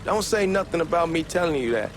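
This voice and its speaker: A man acts out an excitable young hustler from a crime game, with a Southern American accent. His pitch swings widely as he talks fast slang, cocky and chummy. A clear bed of engine and street noise sits behind him. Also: natural voice. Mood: confiding. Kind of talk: acted game dialogue, casual banter. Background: moving car ambience.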